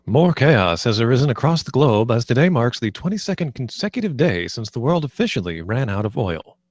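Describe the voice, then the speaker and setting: A man's voice: mid-range voice, very animated, brisk, a little mellow, dry recording, clean studio sound. A man playing a radio news reporter in a script read. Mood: grave. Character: radio news reporter announcing global chaos.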